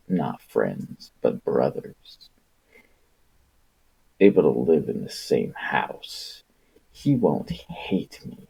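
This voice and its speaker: A man's voice: deep voice, gravelly, dark tone, breathy edge, highs cut off, voice pushed down, heavily acted. A man voicing an anxious, hopeful young boy thinking to himself in a novel, with a resigned manner.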